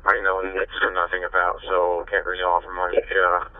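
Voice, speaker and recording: A man's voice: deep voice, monotone, normal speed, no echo, narrow, tinny audio. A man chatting casually as an amateur radio operator, heard over a two-way radio. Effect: two-way radio transmission. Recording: distant-sounding two-way radio transmission.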